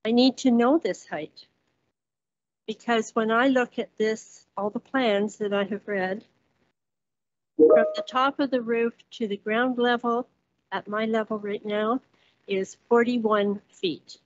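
A woman resident giving public comment at a council hearing, reading hesitantly from notes with many pauses. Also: on the high side, fairly even intonation. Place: council chamber, standing at podium. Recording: dull muffled council chamber recording.

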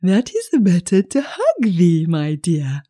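Sly male wolf played by a woman storyteller: hungry, falsely sweet, drawn-out delivery, mellow, storybook villain. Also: on the low side, wildly swooping pitch, full character voice. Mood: sly, menacing.

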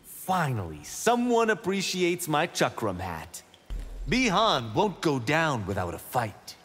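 A boastful young warrior for a fighting game. He takes his time, with lively swings of pitch and a husky tone, ringing in long reverb. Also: slow, exaggerated voice. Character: brash, boastful young male warrior with something to prove. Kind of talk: video game fight intro dialogue.

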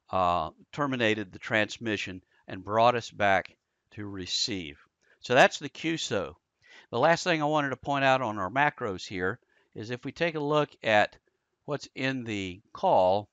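A man giving a casual, conversational software tutorial, explaining things step by step as an instructor. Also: mid-range voice, no room sound. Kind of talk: casual screen-share software tutorial narration. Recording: dull lo-fi close dry voice-over.

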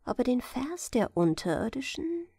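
A woman narrating a fairy tale as a gentle bedtime audiobook reading. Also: sits high, very animated, gravelly, slightly rough, no echo, clean studio sound. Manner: slow, melodic storytelling.

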